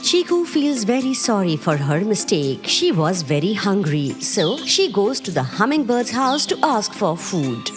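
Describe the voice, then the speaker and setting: A woman narrates a children's cartoon story. Her reading is plain and steady, with a lively rise and fall. Music plays under the narration. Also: mid-range voice, unhurried, few pauses.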